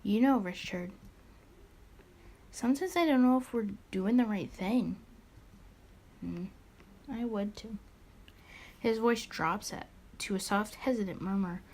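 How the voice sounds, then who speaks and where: A woman's voice: medium pitch, unhurried, long pauses, darkish tone. A woman hosting a let's play, reading a visual novel aloud expressively with casual muttered asides and small stumbles.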